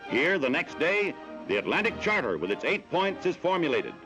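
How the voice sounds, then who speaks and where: A man's voice: fairly high pitch, sing-song, normal speed. A man with an American accent narrating a 1940s newsreel in a dramatic, declamatory way, on an old mono film soundtrack. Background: loud orchestral music.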